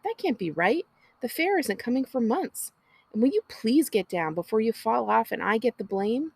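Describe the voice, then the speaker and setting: Woman acting impatient teen big sister, storybook character, scolding, sardonic, gravelly edge, dry sound. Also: on the high side, very animated, moderate pace, darkish tone, no room sound, voice pushed up, heavily acted. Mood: exasperated. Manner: scolding, exasperated. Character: impatient, bossy, sardonic teenage older sister. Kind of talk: children's storybook character reading.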